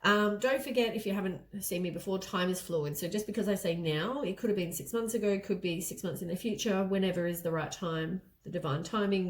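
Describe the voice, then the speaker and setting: A woman at a mid-range pitch gives a casual tarot reading, her voice stuffy and a little low from a head cold. She talks loosely and rambles, often stopping to correct herself mid-sentence. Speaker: tarot reader.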